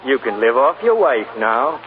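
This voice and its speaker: Actor playing a sneering, spiteful press agent, old radio. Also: male voice, mid-range voice, big pitch swings, normal speed, noisy background, close to an everyday voice. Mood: bitter contempt. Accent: American. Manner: sarcastic, contemptuous, wisecracking. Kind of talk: 1940s radio drama acting. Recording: narrow-band 1940s radio recording, crowd behind.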